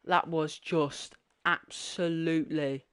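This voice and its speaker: A man commentating a sim racing league with excitement, his voice strained and fading. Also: slow pace, clean tone. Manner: excitable, voice climbing high at big moments. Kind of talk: excited live race commentary. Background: music.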